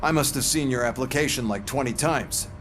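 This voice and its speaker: An actor playing a manipulative, smug police boss in a video game, with a sardonic, condescending manner. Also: male voice, medium pitch, fairly quick, fairly bright tone, slight background noise, close to an everyday voice. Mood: smug, coaxing. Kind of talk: scripted video game acting.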